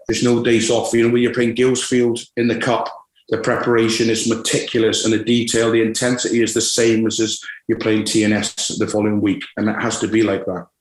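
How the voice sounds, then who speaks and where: Guest: Scottish-born man with a West Country accent, forties, deep-ish voice, candid, halting, roomy recording. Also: completely flat delivery. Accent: West Country English. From Scotland. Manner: frank, reflective, many restarts and fillers. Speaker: football manager guest. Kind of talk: candid interview.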